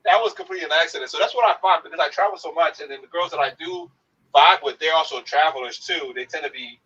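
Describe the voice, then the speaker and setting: A man with a bright, husky voice telling a funny story as a livestream guest. He sounds far from the mic, with dull, lo-fi call audio. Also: fairly high pitch. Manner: animated, joking. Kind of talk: casual storytelling.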